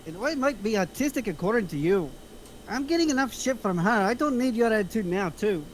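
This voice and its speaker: Man playing a high-voiced, grumbling criminal sidekick. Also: animated, fairly quick, a little hiss or hum, low-quality recording. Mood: aggrieved. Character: Eddie, sulky complaining criminal sidekick, male. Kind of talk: amateur script table reading.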